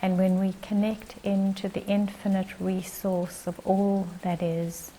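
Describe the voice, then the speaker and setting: A woman leading a guided meditation as its guide, speaking in gentle, short phrases with long pauses. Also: mid-range voice, flat delivery, a little hiss or hum.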